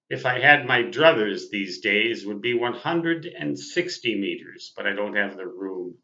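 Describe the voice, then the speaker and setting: An American man in his sixties reminiscing casually as a host, speaking in a deliberate, precise way. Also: fairly low pitch, pushed and bright. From Minnesota, United States. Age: 60s. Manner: deliberate, precise, spells out terms phonetically. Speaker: host, amateur radio operator. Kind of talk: casual reminiscing monologue to viewers. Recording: dull, lo-fi sound.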